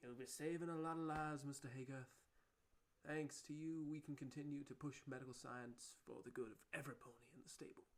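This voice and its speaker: A man playing a politely clinical, persuasive pony doctor in a scripted audio drama, with a creaky voice. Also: mid-range voice, fairly even intonation, a little fast, close to an everyday voice.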